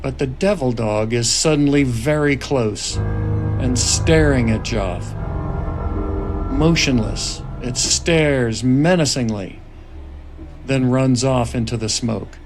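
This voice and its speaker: A man with a mid-pitched voice and a slow pace. He speaks deliberately as a host and director, leaving pauses between phrases. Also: faint music behind. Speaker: writer-director and podcast host. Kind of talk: scripted narration and reflective talk.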